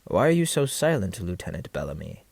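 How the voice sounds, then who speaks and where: A man's voice: low-pitched, very animated, vocal fry, darkish tone, no echo, exaggerated voice. Man acting a vain, scheming captain, swooping theatrically. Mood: apologetic. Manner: theatrical, florid, pausing rhetorically. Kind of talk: stage-play style period audio drama acting.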